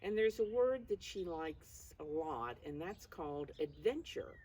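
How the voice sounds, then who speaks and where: Low-voiced older woman reading to preschoolers. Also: expressive, measured pace, a little mellow, slightly rough. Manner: addresses children simply, calls self by name in third person. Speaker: preschool storytime reader. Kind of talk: read-aloud to young children with simple explanations. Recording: distant mic, faint background noise.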